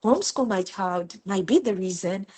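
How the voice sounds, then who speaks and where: A woman's voice: medium pitch, moderate pace. A woman from Zimbabwe, a homeschooling mother, talking in a casual interview and telling her stories earnestly and with feeling. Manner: earnest, animated storytelling with repeated words.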